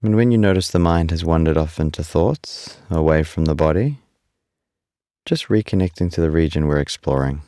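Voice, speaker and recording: A man leading a guided body scan meditation in a calm, unhurried, soothing way, leaving long pauses between instructions. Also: a bass voice, no echo, studio quality.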